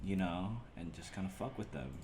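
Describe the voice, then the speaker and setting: Deep-voiced guy, from the Bay. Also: fairly quick. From San Francisco Bay Area. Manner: excitable storyteller, swears freely. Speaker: guest, photographer. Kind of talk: casual chat.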